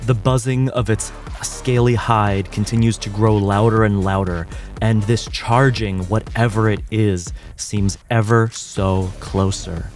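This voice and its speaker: A man narrating as game master in a tabletop game, describing monsters and results calmly. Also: lowish voice, measured pace, faint music behind.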